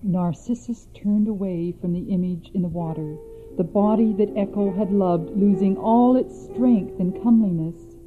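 An actress playing a young princess who calmly narrates old myths in measured tones. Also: female voice, medium pitch, music in the background, natural voice. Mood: storytelling. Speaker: storyteller. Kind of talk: scripted storytelling in a radio drama. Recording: tinny phone-like recording.

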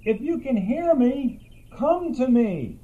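A woman's voice: high-pitched, very animated, slow, dark tone, slightly rough, muffled recording, voice pushed up, heavily acted. A woman voicing a puzzled, proud teenage boy hunter in a dramatized myth. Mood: puzzled, calling out. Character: puzzled sixteen-year-old youth, a proud male hunter.

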